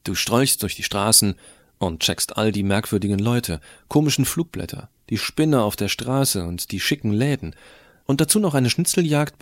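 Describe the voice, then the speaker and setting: A man playing a geeky, cheeky teenage boy who tells his story in colloquial, expressive first-person audio drama narration. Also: medium pitch, dry recording, lightly acted. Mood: enthusiastic, cheeky.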